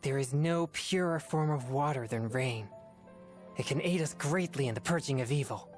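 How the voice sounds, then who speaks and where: A woman voicing an earnest, formal teenage boy exorcist in a video game, speaking in a measured way. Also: extremely low pitch, a little mellow, faint music behind. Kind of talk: video game character voice lines.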